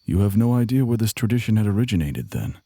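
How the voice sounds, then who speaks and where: A man playing a worldly, genial traveling companion in a fantasy audio drama, speaking in formal, measured phrases. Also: fairly low pitch, very animated, dark tone, breathy edge, dry recording, clean studio sound, heavily acted. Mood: composed.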